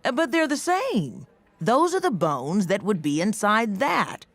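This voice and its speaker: A woman's voice: mid-range voice, very animated, measured pace, dry recording. A woman playing a friendly, patient explainer in a children's cartoon, encouraging and teacherly. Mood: patient, amused.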